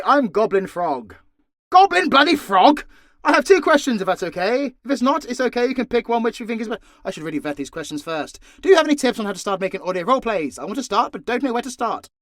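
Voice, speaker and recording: A man's voice: a little high, fairly bright tone, no echo, clean studio sound. A British man casually answering fan questions off the cuff, sweary and joking with mock exasperation. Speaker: audio roleplay creator and voice actor.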